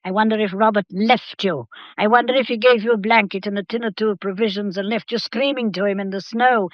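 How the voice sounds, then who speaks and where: Actress as a shrewd old English matriarch, muffled recording. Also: female voice, medium pitch, dry recording, close to an everyday voice. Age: older. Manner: measured, deliberate, accusatory. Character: shrewd, accusing old matriarch of a London family. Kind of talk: mid-century radio drama acting. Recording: dull, muffled vintage radio recording.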